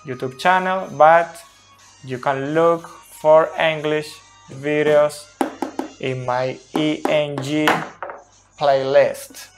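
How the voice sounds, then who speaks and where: A man in his thirties, a native Spanish speaker, gives an unscripted soccer explainer in slow, halting, deliberate second-language speech. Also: on the high side, fairly even intonation, faint music behind. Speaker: video host, soccer analyst. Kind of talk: unscripted explainer at a tactics board. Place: small indoor room at a whiteboard. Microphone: cell phone at close distance.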